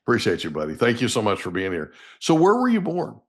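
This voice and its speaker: A man's voice: mid-range voice, huge pitch swings, fast talker. A man hosting an interview podcast, welcoming his guest with friendly, affirming interjections. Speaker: podcast host. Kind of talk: interview and read ad.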